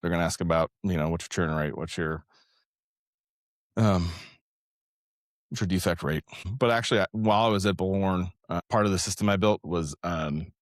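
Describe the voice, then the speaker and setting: A man with a deep voice talks casually as an interview guest. He rambles a little, reworking his phrases as he thinks aloud. The sound is dry, with very little room in it. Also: normal speed, pauses often, dry recording. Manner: rambling, conversational, thinks aloud with repeated rephrasing. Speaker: interview guest, software developer and engineering leader.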